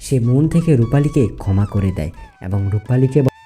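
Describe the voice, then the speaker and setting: Male narrator, scripted cartoon folk tale, very dark soft tone, medium pitch, music underneath. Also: animated, brisk. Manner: storytelling.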